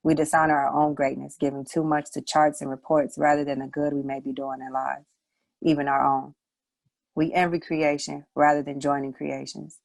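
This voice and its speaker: A woman's voice: sits low, little pitch movement, moderate pace, vocal fry, a little mellow, no echo. A woman reciting her own poetry as a spoken word piece. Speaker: poet, showcase participant.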